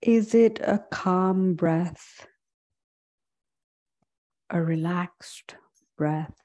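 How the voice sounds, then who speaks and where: Slow, mellow woman guiding meditation. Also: lowish voice. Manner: calm, gentle, unhurried with long pauses. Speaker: yoga and meditation instructor. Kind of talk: guided meditation.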